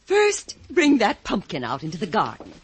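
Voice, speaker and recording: An actress playing a gentle but commanding fairy godmother, speaking grandly in a storybook radio play. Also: medium pitch, wildly swooping pitch, normal speed, a little hiss or hum. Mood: soothing, commanding. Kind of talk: old-time radio fairy-tale acting.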